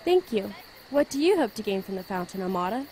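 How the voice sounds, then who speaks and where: A woman's voice: mid-range voice, big pitch swings, brisk, darkish tone, slight background noise, natural voice, poor audio. A woman playing a gloomy, practical, penniless witch in an amateur audio drama. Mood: downcast.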